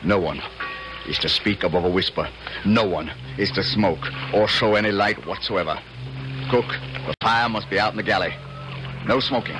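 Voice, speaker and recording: A man's voice: on the low side, normal speed, natural voice. An actor playing a fatherly, authoritative Confederate colonel with formal speech in a radio drama. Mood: commanding. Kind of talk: 1970s radio drama acting. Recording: noisy vintage radio broadcast.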